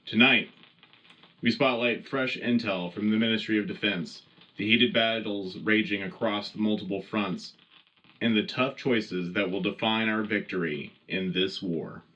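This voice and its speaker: A man's voice: on the low side, unhurried, bright, forceful tone, highs cut off. A man playing an earnest, patriotic wartime radio news anchor, speaking formally and dramatically. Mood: earnest, rousing. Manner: formal, dramatic announcer delivery. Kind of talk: scripted in-world news broadcast.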